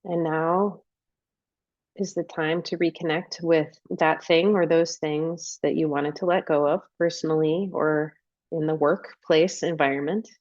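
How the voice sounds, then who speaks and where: A woman leading a guided meditation as a coach, giving gentle instructions and unhurried invitations with pauses. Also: sits low, steady tone, no echo, dull audio.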